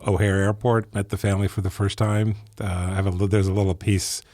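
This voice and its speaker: Middle-aged man from Ethiopia, very deep voice, reflective guest who wanders a bit. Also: little pitch movement, fairly quick, a little mellow, no room sound. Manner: thoughtful, meandering, frequent restarts. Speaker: physician and business executive guest. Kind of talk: casual interview answers.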